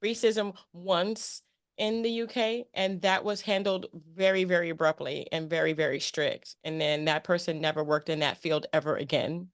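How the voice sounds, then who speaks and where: A woman's voice: fairly low pitch, fairly even intonation, normal speed. A woman hosting a casual interview in a chummy, emphatic way, often repeating phrases for emphasis. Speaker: interview show host.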